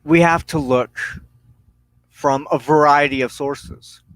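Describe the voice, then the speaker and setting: A man giving a spiritual teaching monologue as a broadcast host, solemn and deliberate, speaking in halting phrases with pauses. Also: a little high, steady tone, a bit bright, no echo, poor audio. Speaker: religious teacher hosting a broadcast on witchcraft.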